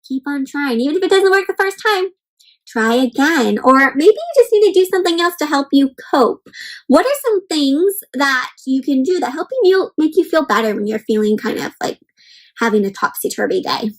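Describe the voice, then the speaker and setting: High-pitched woman reading aloud to children. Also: normal speed. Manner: expressive, encouraging, asks listeners questions; addresses listeners as friends, simple child-directed phrasing. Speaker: children's read-aloud host. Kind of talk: interactive picture-book read-aloud to children.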